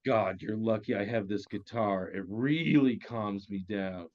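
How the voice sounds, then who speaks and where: A man's voice: on the low side, unhurried, close to an everyday voice. A man playing a cocky, crude crime boss with flippant, theatrical menace in a comedy table read. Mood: mocking. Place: virtual table read over video call. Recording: dull, lo-fi online call.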